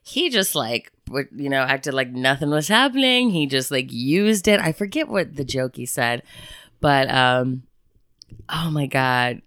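A woman's voice: a bass voice, measured pace, dry recording, clean studio sound. A woman co-hosting a casual podcast, telling stories with lots of swearing and laughter. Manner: animated storyteller, swearing and laughing often. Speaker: podcast co-host. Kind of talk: casual chat.

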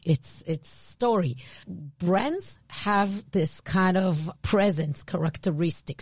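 A woman with an Israeli accent, co-hosting a podcast and explaining branding in a casual, animated way. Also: lowish voice, dry recording. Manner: animated, restarts often, laughs easily. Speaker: podcast co-host, branding expert.

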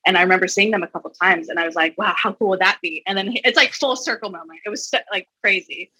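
A woman in her twenties, a singer chatting as a guest, upbeat and quick to laugh. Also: mid-range voice, pushed and bright, slightly rough, dry recording, low-quality recording. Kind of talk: casual conversation.

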